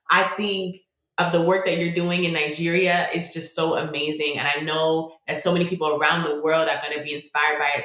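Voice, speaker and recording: A woman's voice: low-pitched, fairly bright tone. A woman hosting a podcast interview, talking with enthusiasm and praising her guest. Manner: enthusiastic, upbeat. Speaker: podcast host. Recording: roomy echo.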